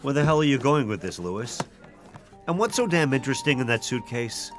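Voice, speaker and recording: An actor playing an aging, stubborn police detective in a radio drama, terse and gruffly confident. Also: male voice, medium pitch, expressive, brisk, music in the background, natural voice. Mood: wary, impatient.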